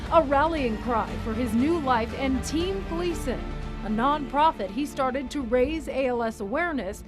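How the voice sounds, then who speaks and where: A woman TV news reporter giving scripted news narration with a polished, measured delivery. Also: high-pitched, expressive, music in the background.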